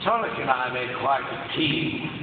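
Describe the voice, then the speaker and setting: An actor playing a sly, treacherous alien rogue, condescending and theatrical, in a live stage audio drama. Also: male voice, medium pitch, lively intonation, unhurried, natural voice. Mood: smug, mocking. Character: smug, treacherous male alien rogue, coolly mocking. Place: very large arena stage. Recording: echoey arena, distant, tinny, very noisy.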